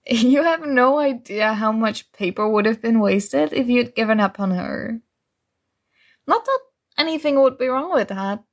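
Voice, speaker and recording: Woman acting as sweet clueless girl friend, higher voice, slightly creaky, earnest and bubbly, soft ASMR roleplay. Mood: earnest, encouraging. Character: sweet, oblivious, earnest young female friend giving love advice.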